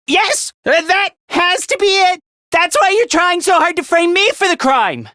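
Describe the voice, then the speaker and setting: A timid, self-loathing schoolboy from a video game. The voice sits very high and swings widely in pitch. He stammers and hesitates, always on the defensive, in a dry recording. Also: a bit bright, no echo, exaggerated voice. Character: nervous, self-loathing, cornered teenage schoolboy. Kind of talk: English video game voice acting.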